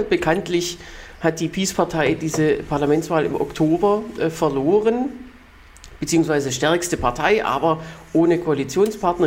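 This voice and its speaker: A man's voice: a little high, a little mellow. Chatty Berlin podcast host man. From Germany. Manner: explains at length with many fillers and restarts. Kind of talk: casual political chat.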